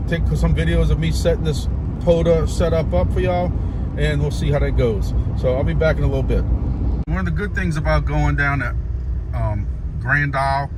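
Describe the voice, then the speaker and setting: A man vlogging casually while driving, rambling along with restarts and fillers. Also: on the high side. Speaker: vlogger and ham radio operator. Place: inside a moving car. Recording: loud road and car noise behind.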